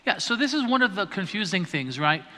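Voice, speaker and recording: A man with a bright, ringing, slightly high voice teaches an adult class in a large hall. His talk is relaxed and patient, and he keeps checking in with "right?" and "okay?". Long reverb from the hall trails after each phrase. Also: brisk. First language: not Greek. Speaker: priest teaching adult catechism. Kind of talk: conversational lecture to a class. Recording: reverberant hall on a livestream mic.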